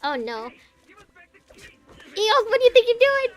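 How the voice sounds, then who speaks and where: A woman's voice: very high voice, very animated, a little slow, faint music behind. A woman streamer giving casual commentary on a story game and talking back to its characters.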